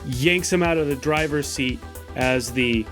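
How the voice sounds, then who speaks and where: Deliberate mid-pitched male tabletop player. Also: a bit bright, soft background music. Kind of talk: casual in-game description and rules talk.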